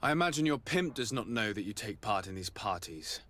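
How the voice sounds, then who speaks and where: An actor playing a composed, courteous but pressing investigator in a video game. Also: male voice, lowish voice, very animated, a little fast, a bit bright. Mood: polite, probing.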